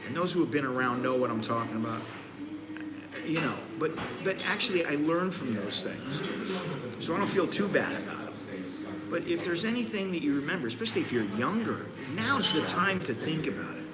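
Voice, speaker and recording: A man's voice: fairly high pitch, animated, brisk. A man in his sixties from the United States hosts a casual live stream from a busy coffee shop, giving blunt, rambling advice with frequent restarts. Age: 60s. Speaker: host. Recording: roomy, crowded cafe. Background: crowd chatter.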